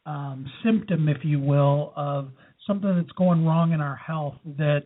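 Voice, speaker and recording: The high, husky-voiced man who hosts a small online talk show, chatting casually with guests over what sounds like a phone line. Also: measured pace. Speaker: interview show host. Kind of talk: casual interview chat.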